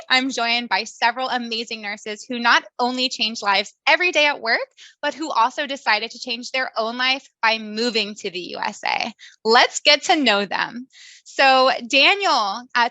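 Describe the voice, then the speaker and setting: A woman's voice: on the high side, sharp tone, dry recording. A woman hosting an interview with nurses in a cheerful, enthusiastic way. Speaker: host, nurse recruiter.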